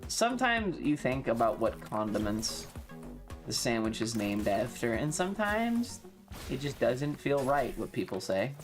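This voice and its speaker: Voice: medium pitch, very animated, measured pace, faint music behind. An American game streamer giving casual live commentary, swearing often, exclaiming and repeating phrases. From North Carolina, US.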